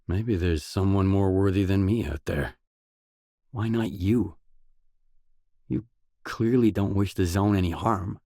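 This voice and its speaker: An actor playing a determined, brooding stalker in a grim video game, with a measured, serious, understated delivery. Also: male voice, warm tone, dry recording, studio quality, exaggerated voice. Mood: calm, serious. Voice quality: low, husky. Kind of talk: game voice acting, isolated dialogue lines.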